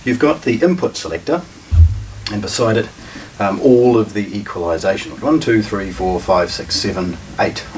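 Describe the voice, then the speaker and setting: A man giving an unscripted product demonstration as a shop presenter, speaking in a measured, methodical way with halting phrasing. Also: fairly low pitch. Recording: distant mic with faint room noise.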